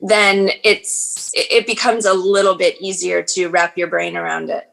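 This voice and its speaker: A chatty woman in her forties who narrates audiobooks and acts, talking in an easy, casual interview. She often restarts her sentences and fills pauses as she thinks aloud. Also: on the low side, little pitch movement, vocal fry, rough recording. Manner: chatty, warm, frequent fillers and restarts.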